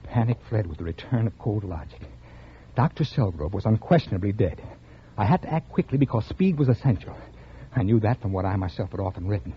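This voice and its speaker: An actor playing a calculating murderer and writer, with a coolly logical manner in the style of old-time American radio crime drama. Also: male voice, fairly low pitch, expressive, slight background noise, natural voice. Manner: deliberate, coolly logical in narration, casual with others. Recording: muffled old radio recording.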